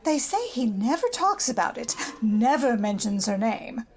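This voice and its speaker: A woman's voice: fairly high pitch, big pitch swings, measured pace, darkish tone. A woman playing a conceited, bossy older American society matron in an old-time radio drama, haughty and gushing. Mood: haughty, gossipy.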